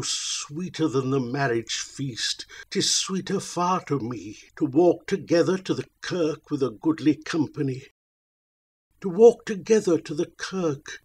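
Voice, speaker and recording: Man playing a haunted old mariner, husky and slow. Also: a little high, a little mellow. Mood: solemn, earnest. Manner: solemn, archaic declaiming. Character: haunted, tormented old mariner telling his tale.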